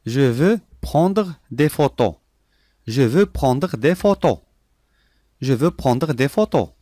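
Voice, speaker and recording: A man reading short phrases slowly and clearly for learners, repeating each sentence three times. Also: mid-range voice, animated, mellow, no room sound, studio quality. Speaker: language lesson voice.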